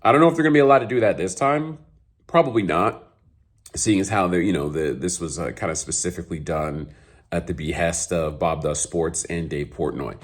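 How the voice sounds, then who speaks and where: A man's voice: low voice, a little fast. Scratchy-voiced man rambling in a garage. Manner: rambling, many fillers and restarts. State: slightly scratchy voice from a cold. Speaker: golf YouTuber. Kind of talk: casual vlog commentary. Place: home garage.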